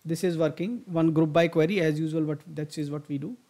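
A man narrating a screen-recorded software tutorial, thinking aloud with frequent fillers and restarts as he clicks through steps. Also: on the high side, fairly even intonation, brisk. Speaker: software instructor.

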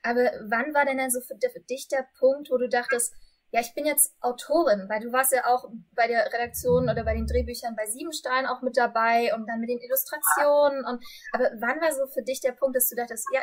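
A woman's voice: a little high, fairly even intonation, brisk, poor audio. A woman in her twenties hosting an informal, chatty interview over a video call. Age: 20s. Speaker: interviewer, host. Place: indoors in front of bookshelves.

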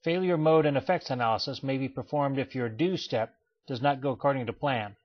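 A man giving a scripted voice-over for a training slideshow, in a measured, explanatory way. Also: mid-range voice. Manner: measured, even explanatory delivery. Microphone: voice-over, not recorded in pictured place. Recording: dull lo-fi voice-over.

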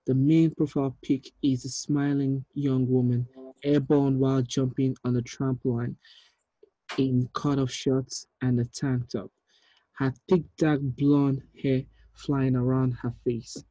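A man in his thirties reading screenplay directions aloud in a measured, deliberate way, with small stumbles. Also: mid-range voice, flat delivery, warm tone, no echo, dull audio. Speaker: writer reading stage directions at a table read. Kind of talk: script narration, reading aloud. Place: indoor room, on a video call.

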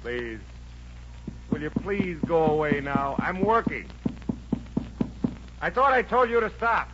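A man's voice: fairly high pitch, animated, slow pace. An actor playing a harried, irritable American draftsman in a 1950s radio comedy, flustered and muttering to himself. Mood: exasperated. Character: harried, irritable man trying to finish an engineering sketch. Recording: muffled, noisy old radio recording.

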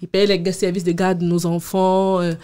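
A woman's voice: on the low side, level tone, moderate pace, warm tone, clean tone. A woman in her thirties answering interview questions casually, hesitating and repeating words as she explains. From abroad, immigrant to Canada. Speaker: podcast guest. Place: small indoor podcast studio. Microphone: studio microphone on boom arm with headphones.

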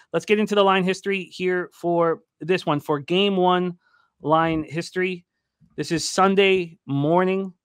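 Higher-pitched middle-aged man, sports betting host. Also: no echo, clean studio sound. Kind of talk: hockey betting preview commentary. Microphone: close desk microphone.

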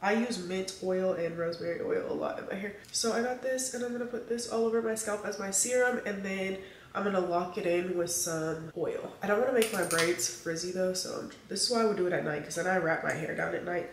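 A woman's voice: medium pitch, brisk, slight background noise. A young woman vlogging casually to camera, chatty and slangy, a little nasal and congested from allergies. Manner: chatty, animated, slangy asides to viewers. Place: indoors at home. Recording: roomy echo, a bit off-mic.

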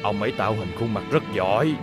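A man's voice: lowish voice, fast talker, close to an everyday voice. Man voicing a fatherly old scientist. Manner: calm, fatherly and explaining. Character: kindly elderly male scientist. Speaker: voice actor in a cartoon dub. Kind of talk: cartoon dubbing. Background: music score.

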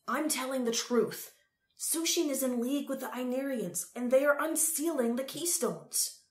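A woman's voice: mid-range voice, expressive, measured pace, a bit bright, roomy sound. Woman voicing an earnest, urgent boy hero. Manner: urgent, pleading.

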